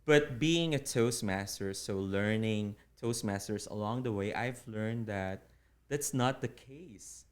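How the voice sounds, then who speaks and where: A man with a Filipino accent, a native Tagalog speaker, explaining things earnestly as an interview guest in deliberate, formal phrasing. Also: fairly low pitch. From Philippines. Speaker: interview guest, public speaking club officer.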